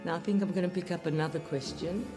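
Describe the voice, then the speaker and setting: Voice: mid-range voice, fairly even intonation, quick pace. An elderly survivor from Italy carefully recounting a wartime escape. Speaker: Holocaust survivor giving testimony. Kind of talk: recorded testimony interview. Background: soft guitar music.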